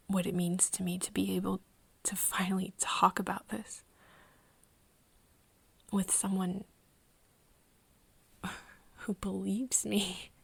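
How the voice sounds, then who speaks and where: A woman playing an earnest young woman confiding in a friend in an ASMR roleplay, speaking softly and haltingly, often in a whisper. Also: mid-range voice, no echo, heavily acted. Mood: tender, nervous. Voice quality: soft, breathy, often whispering. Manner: halting, hesitant confiding with pauses. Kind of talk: ASMR roleplay, intimate one-sided conversation.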